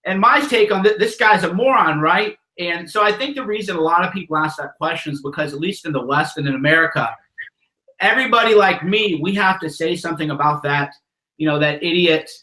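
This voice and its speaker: A man hosting a relaxed online interview, talking frankly and restarting his sentences as he goes. His voice sits a little high and sounds bright and forward. The room around him rings with a noticeable echo. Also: fairly quick. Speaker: host of call-in show. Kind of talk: casual interview chat.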